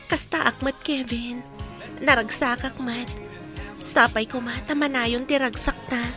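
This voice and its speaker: Woman playing high-voiced sweet young bride. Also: steady tone, unhurried, over music, lightly acted. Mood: loving. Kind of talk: Ilocano radio drama acting.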